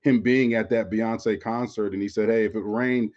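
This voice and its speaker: A man's voice: on the low side, steady tone, normal speed, a little mellow, dull audio. An American man from Florida, a former player co-hosting a sports podcast, chats casually and circles back over his phrases with frequent filler tags. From Florida, United States. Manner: conversational, repeats phrases, often says "you know". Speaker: former pro football player, podcast co-host.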